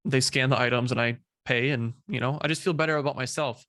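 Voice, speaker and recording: A young man speaking as a guest in a casual interview, talking in a halting way with many fillers and repeated words. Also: medium pitch, fairly even intonation, pushed and bright, no echo, clean studio sound. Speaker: guest, social media creator.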